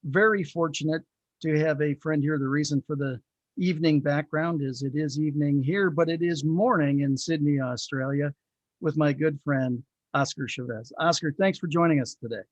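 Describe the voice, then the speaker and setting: A man's voice: a little high, moderate pace, dry recording, dull audio. A man hosting a conversational interview, chatty and complimentary, with hesitant phrasing. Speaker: talk show host.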